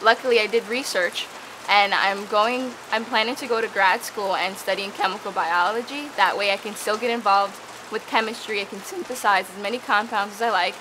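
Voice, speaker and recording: A young woman from the Dominican Republic, a student researcher, talking eagerly to camera in a casual interview outdoors among tropical plants. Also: mid-range voice. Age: young adult. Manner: eager, bubbly, quick restarts. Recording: steady rain noise behind.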